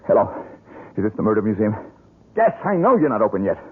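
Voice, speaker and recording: An actor playing an earnest, excitable painter with a husky voice, in old radio drama style. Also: male voice, on the low side, quick pace, phone-quality sound, slight background noise. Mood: alarmed, urgent. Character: earnest, excitable, haunted struggling male painter. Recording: thin band-limited old radio broadcast.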